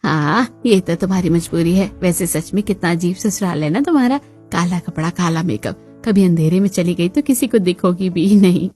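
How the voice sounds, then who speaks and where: A woman's voice: fairly low pitch, moderate pace, warm tone, soft background music, pitched below the performer's own voice, natural voice. A woman voicing a middle-aged neighbourhood gossip in a cartoon, teasing and snide. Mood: mocking.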